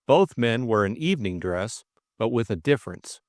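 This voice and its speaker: A man's voice: lowish voice, lively intonation, moderate pace, dry recording, studio quality. A man reading a classic mystery audiobook as scripted dramatic narration.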